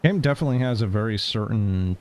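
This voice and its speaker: A man giving casual, slightly hesitant commentary as he streams a game, with a creaky voice. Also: lowish voice, expressive, fast talker, a little mellow, a little hiss or hum. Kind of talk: casual game commentary, reading on-screen text aloud.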